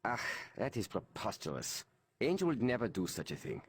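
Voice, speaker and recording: An actor playing a loyal, formal hero in a video game, husky and proper. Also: male voice, on the low side, wildly swooping pitch, normal speed, heavily acted. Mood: indignant. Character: loyal, formal male mutant hero, indignant.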